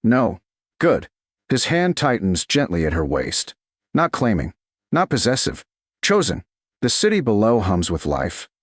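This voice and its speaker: A man with a fairly deep voice narrates a romantic story as an audiobook. His pitch moves widely across short, measured, dramatic phrases. The sound is close and dry, with very little room in it. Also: wildly swooping pitch, no room sound.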